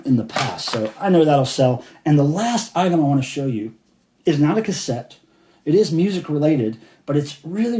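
Enthusiastic guy rambling about stuff he found, mellow voice, kinda echoey room. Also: medium pitch, a little hiss or hum. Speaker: collector showing finds. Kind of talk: casual show-and-tell vlog. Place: indoors at a table of cassettes.

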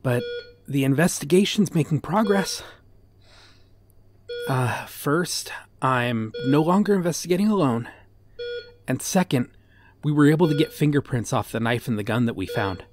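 A man playing a devoted, guilt-ridden detective in a dramatic audio roleplay, speaking in an earnest, brooding, halting way. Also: fairly high pitch, animated, lightly acted. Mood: sorrowful, pleading. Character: guilt-ridden, devoted male detective hunting a serial killer.

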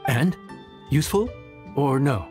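Man playing a lively, affable young chef. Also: mid-range voice, a little slow, natural voice. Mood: upbeat. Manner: affable, folksy, slightly nervous. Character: friendly, humble young inn chef, eager and flustered. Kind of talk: video game voice acting. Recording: game music behind the voice.

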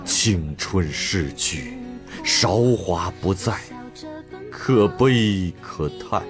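An actor playing a pompous, silly yet upright old-time Chinese magistrate in a comedy radio drama, with theatrical, grandiose exclamations. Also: male voice, deep voice, animated, extremely slow, music in the background, heavily acted. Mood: mock melancholy.

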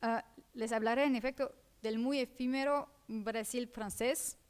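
A woman with a Portuguese accent reading a conference paper in a seminar room, careful and halting, often correcting herself. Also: on the high side, steady tone, clear, resonant tone. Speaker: academic speaker.

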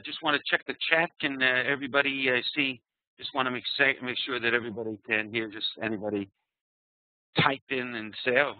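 A man's voice: medium pitch, no echo. A middle-aged man with a New York accent presenting a trading lesson in a conversational way with frequent fillers and restarts. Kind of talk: webinar presentation. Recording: tinny phone-like online audio.

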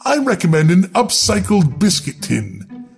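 A man's voice: sits high, wildly swooping pitch, unhurried, gravelly, soft background music. A man reading scripted, darkly humorous narration in a wry, theatrical instructional manner.

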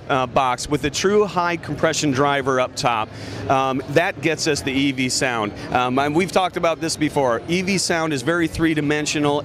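A man giving an informal, emphatic sales demonstration as a company representative in a conversational style. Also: a little high. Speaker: loudspeaker company representative at a trade show. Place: busy indoor trade show floor. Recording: noisy trade show floor with urban-like background din.

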